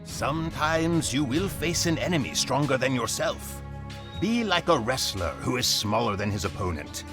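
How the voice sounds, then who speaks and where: An actor playing a solemn war mentor who formally and deliberately instructs his commander in a game cutscene. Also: mid-range voice, animated, music in the background, lightly acted. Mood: grave, instructive. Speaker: narrator and tutor. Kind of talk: scripted game cutscene narration.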